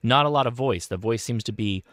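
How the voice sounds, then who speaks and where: A man's voice: medium pitch, brisk, a bit bright, no echo. An American man in his forties talking casually and enthusiastically into a close studio microphone, as a hobby radio operator explaining things. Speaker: host, ham radio operator. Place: indoor home radio desk. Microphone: close boom studio microphone.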